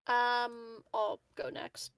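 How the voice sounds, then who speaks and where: A woman's voice: on the high side, flat delivery, drawn-out words, fairly bright tone, smooth, dry recording. A woman chatting casually as a player in a tabletop roleplaying game. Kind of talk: casual chat at a game session.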